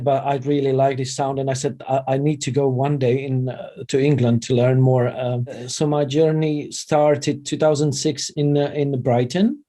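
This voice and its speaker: A middle-aged man speaks with a Czech accent at an average pitch. His tone is dark and relaxed, with little edge to it. He talks as a guest in a casual interview, in halting second-language English with broken phrasing. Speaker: interview guest, vegan chef and former radio presenter.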